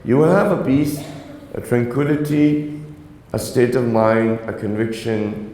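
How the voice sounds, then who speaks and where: A man's voice: medium pitch, measured pace, warm tone. A man whose first language is Urdu, giving an earnest religious talk to young people and often checking in with them. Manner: earnest, exhorting, asks the audience "right?". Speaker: religious lecturer. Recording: echoey reverberant hall.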